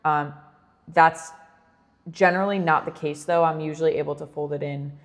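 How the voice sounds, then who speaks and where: A woman's voice: low voice, steady tone, moderate pace, big-room sound. A woman in her thirties co-hosting a podcast in casual chat, chatty with lots of fillers and frequent laughter. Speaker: podcast co-host.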